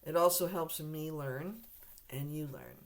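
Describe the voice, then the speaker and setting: Hoarse woman giving a blunt tarot reading. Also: sits low, unhurried, slight background noise. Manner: frequent throat clearing; blunt, direct, lecturing the viewer. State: hoarse, losing her voice. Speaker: tarot reader. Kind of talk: casual tarot reading to camera.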